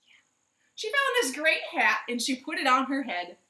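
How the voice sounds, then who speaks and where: A woman's voice: high-pitched, huge pitch swings, normal speed, sharp tone. A woman hosting a children's storytime, telling stories playfully and putting questions to her young listeners, recorded in a living room. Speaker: library storytime host. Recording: roomy home echo.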